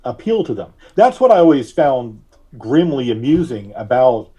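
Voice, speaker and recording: A man speaking as a podcast guest, giving conversational political commentary in a measured, wry, halting way. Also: mid-range voice, big pitch swings, warm tone, breathy edge.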